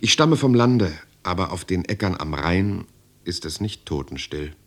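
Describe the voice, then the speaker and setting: A deep male voice tells his own story as a solitary, contemplative writer. The delivery is measured and lyrical, in the manner of a literary radio drama. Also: animated, a bit bright. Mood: resigned, homesick. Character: reflective, solitary European writer recounting his life. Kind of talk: literary first-person radio drama narration.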